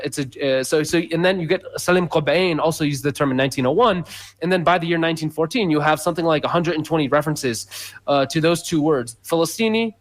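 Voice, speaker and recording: A man speaking as a guest scholar in a radio interview, explaining at length with many fillers and restarts. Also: on the high side.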